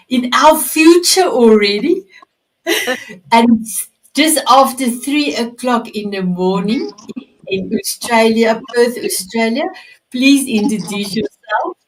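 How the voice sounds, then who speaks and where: A woman's voice: a little high, distant mic, rough recording. A woman hosting an online talk show over a video call, gushing and effusive with her guests. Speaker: host.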